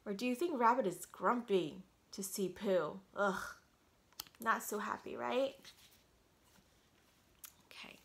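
A woman's voice: mid-range voice, slow pace, long pauses. A woman in her thirties reading a picture book aloud interactively, putting questions straight to young children. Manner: animated, questioning, addresses the child directly. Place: small quiet room at home.

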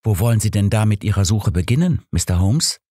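A man's voice: fairly low pitch, animated, a little fast, dark tone, husky, no room sound, clean studio sound. A man reading a spirited, inquisitive woman, a detective's companion, in an audiobook, direct and questioning. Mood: curious.

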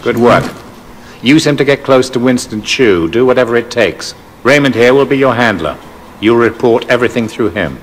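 An actor playing a stern, middle-aged police superintendent in a video game, speaking formally and deliberately with authority. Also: male voice, mid-range voice, animated, a little hiss or hum, natural voice. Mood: cool, commanding. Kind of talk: video game cutscene acting. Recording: long echoing room reverb.

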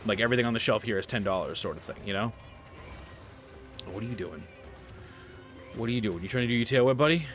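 A man's voice: fairly low pitch, quick pace, long pauses, a bit bright, faint music behind. A man in his thirties chatting casually while playing a video game at home, a little stuffy-nosed and rambling. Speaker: gaming streamer. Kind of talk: casual gameplay commentary. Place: home room, seated at desk.

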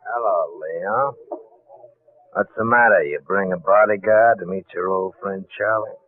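Radio crime drama gangster: low, sardonic, menacing street slang, narrow vintage sound. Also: male voice, unhurried. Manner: slangy, ungrammatical tough talk. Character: sly hard-boiled gangster ex-convict, sardonic and threatening. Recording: muffled old 1940s radio recording.

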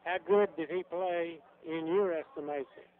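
High-pitched male sports reporter, lo-fi radio. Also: normal speed. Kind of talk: locker-room radio interview. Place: locker room. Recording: muffled lo-fi radio broadcast recording.

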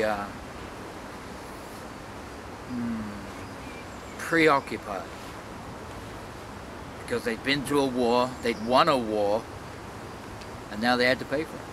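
Casting: man, 60s, reflective interviewee, deliberate with pauses, recorded outdoors with clear background noise. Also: mid-range voice. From London, England. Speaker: world traveler being interviewed. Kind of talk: reflective personal storytelling to camera. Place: outdoors in a leafy city park.

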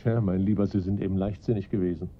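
A man playing a confident, encouraging police detective in a radio crime drama, with measured delivery. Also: low-pitched, steady tone, slight background noise, close to an everyday voice. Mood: smug, calm. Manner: approving, measured questioning. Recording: dull lo-fi radio play recording.

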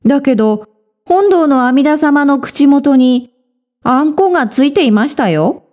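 Woman as a high-voiced cheeky boy monk. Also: expressive, unhurried, a little mellow. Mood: mock innocent. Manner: feigned innocence, playful. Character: clever, cheeky young boy novice monk.

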